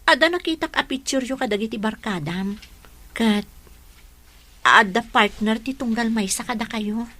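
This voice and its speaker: A young Ilocano Filipina girlfriend in a radio play. Her voice is high and clearly bright. She comes across as sweet, trusting and earnest, with a jealous heart beneath. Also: normal speed, pauses often. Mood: hurt, jealous. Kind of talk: Ilocano radio drama acting.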